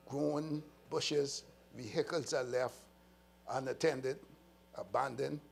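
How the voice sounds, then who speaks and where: Bahamian male police official, mid-pitched gravelly voice, earnest and emphatic briefing, faint mains hum under it. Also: a little mellow. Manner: earnest, emphatic, walks through slides with pauses. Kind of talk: press briefing presentation. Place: press conference room.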